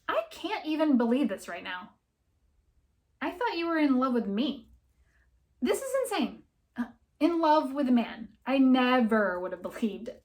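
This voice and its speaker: A woman's voice: high voice, big pitch swings, a little slow, long pauses, a little mellow, pitched above the performer's own voice. A woman voicing a jilted young woman in an audiobook, indignant and incredulous, with an emphatic, exasperated way of talking. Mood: hurt disbelief. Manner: exasperated, emphatic, stammering disbelief.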